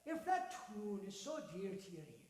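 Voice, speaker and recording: A man voicing a hearty, kindly older Irishman with an Irish accent, calling out his lines in a booming, shouty way during a live story. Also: big pitch swings, normal speed. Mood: eager. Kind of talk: acted character in live storytelling. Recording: echoey hall, live stage.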